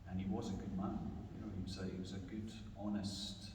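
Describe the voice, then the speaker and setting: Middle-aged male, Scottish, very deep, halting reflective interviewee, distant mic in a reverberant hall with clear noise. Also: little pitch movement. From Edinburgh, Scotland. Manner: halting, repeats phrases, often adds "you know". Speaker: guest author. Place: large echoey room in a mosque.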